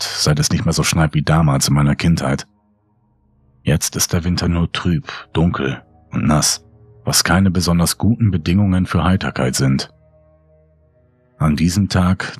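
A man's voice: sits low, breathy edge, no echo. A man reading a scripted horror story as a dramatic narrator. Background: music under the voice.

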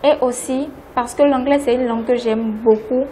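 A woman's voice: high-pitched, fairly even intonation, moderate pace, dark tone. A woman in her twenties with a Beninese accent, introducing herself as a language student in a classroom interview. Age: 20s. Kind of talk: self-introduction testimonial. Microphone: lapel. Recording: noisy classroom.

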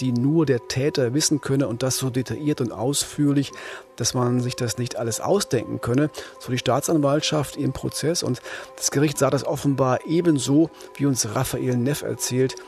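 A man's voice: mid-range voice, darkish tone. A man, talking as a journalist and co-host on a true-crime podcast.

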